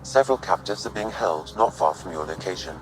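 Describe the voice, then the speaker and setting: A man playing a calm artificial intelligence adviser in a video game, measured, precise and formal. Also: sits low, low-quality recording. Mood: measured urgency. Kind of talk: video game cutscene acting.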